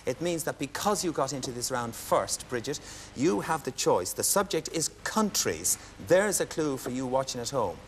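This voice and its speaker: A man hosting a television quiz show, announcing clues and scores in a crisp, formal way and lifting into cheers for winners. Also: mid-range voice, sing-song, moderate pace, hardly any pauses. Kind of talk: brisk game-show hosting. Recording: studio audience and background noise.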